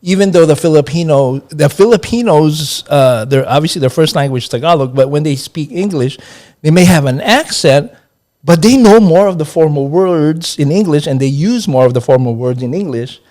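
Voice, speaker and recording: A man's voice: on the high side, moderate pace, a little mellow. Middle-aged man, hesitant and chatty. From Hawaii. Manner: hesitant, many fillers and restarts. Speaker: interview guest, accounting professor. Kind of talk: relaxed interview chat.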